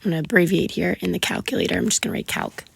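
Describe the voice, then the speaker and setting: A woman teacher, sick and hoarse, patiently walking her students through math homework step by step with frequent ums. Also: fairly low pitch, big pitch swings, racing. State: sick, partly lost voice. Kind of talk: recorded math lesson walkthrough.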